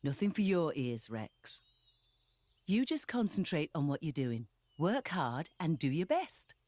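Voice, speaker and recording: A woman voicing a kindly, motherly older guardian in a video game, gentle, protective and reassuring. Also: deep voice, big pitch swings, a little slow, no room sound, exaggerated voice. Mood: gently firm. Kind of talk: video game cutscene acting.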